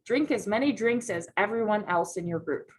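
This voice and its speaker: A woman's voice: medium pitch, expressive, normal speed. Teacher, woman, patient read-aloud lesson, keeps checking in with students. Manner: patient, checks in with frequent "right?" questions.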